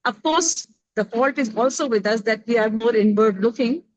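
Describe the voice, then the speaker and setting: A middle-aged woman with an Indian accent talks through her views on a panel, slowly and thoughtfully, often pausing with fillers as she searches for the right words. Her voice sits a little high, and the recording is close and dry with no room sound. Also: dry recording, muffled recording. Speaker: roundtable panelist. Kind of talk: panel discussion, conversational.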